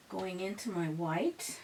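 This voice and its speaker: A woman teaching a live painting lesson, who is losing her voice and keeps clearing her throat, murmuring relaxed step-by-step commentary. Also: medium pitch, a little hiss or hum. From Pittsburgh. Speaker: painting instructor.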